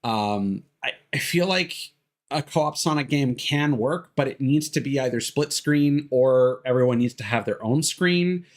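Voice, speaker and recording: A man's voice: mid-range voice, expressive, measured pace. A man giving casual, rambling gameplay commentary as a streamer, hesitant and drifting into tangents. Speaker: video game streamer. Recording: music behind the voice.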